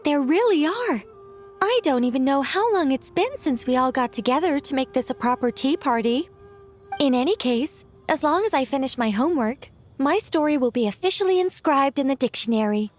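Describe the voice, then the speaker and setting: A whimsical female mage from a video game, speaks in a high, youthful voice. She is composed and musing, dodging questions playfully and taking her time. Soft music plays behind her. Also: expressive, normal speed. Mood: calm, explanatory. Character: whimsical, composed female mage, once an angel, young-sounding. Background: background music.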